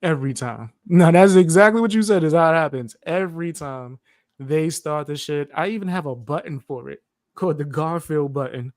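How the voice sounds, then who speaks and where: Male guest, slightly high, calm and laid-back, joking; dry close recording. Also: normal speed, dry recording. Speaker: guest streamer. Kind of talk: casual livestream chat.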